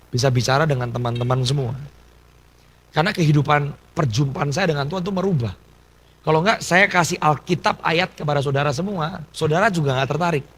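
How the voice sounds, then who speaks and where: Man, 30s, pastor, breathy voice, casual storytelling sermon. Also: fairly high pitch, fairly bright tone. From Indonesia. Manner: conversational storytelling, mixing in English phrases. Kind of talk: testimony sermon.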